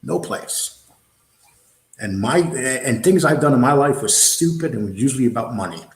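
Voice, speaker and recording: A New York-accented man hosts a live show and answers the chat in blunt, combative terms, at a mid-range pitch. His talk is full of fillers and restarts. The echoey room gives the voice a hollow ring. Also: lively intonation, moderate pace. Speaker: host of a live online show. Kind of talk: live-stream chat, answering viewer comments.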